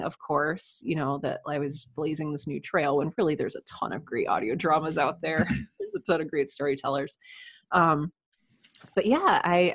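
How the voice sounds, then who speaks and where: A woman chatting casually as a podcast guest, telling her story in an animated way. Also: sits low, dry recording, studio quality. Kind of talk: casual podcast interview chat.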